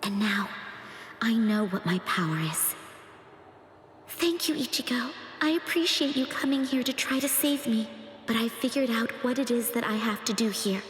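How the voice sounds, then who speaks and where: Echoing, gentle young heroine, played by an actress. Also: female voice, on the high side, expressive, normal speed, slight background noise, natural voice. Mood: quiet resolve. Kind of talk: inner monologue in anime game cutscene. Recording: long echoing reverb, faint music.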